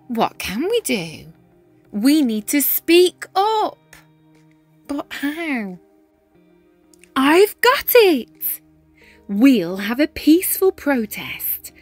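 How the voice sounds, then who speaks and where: A woman reading a children's picture book aloud in an expressive, animated manner with dramatic pauses and emphasis. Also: high-pitched. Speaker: read-aloud storyteller, teacher-style reader.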